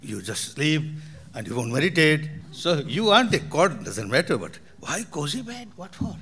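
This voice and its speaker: A man's voice: on the high side, big pitch swings, moderate pace, fairly bright tone. An older man from Burma, a meditation teacher answering audience questions in emphatic, repetitive phrasing.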